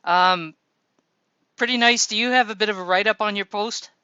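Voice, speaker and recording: A man hosting a casual voice-chat show, relaxed and helpful, talking through people's posts. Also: sits high, normal speed, clear, resonant tone, no room sound, highs cut off. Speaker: show host.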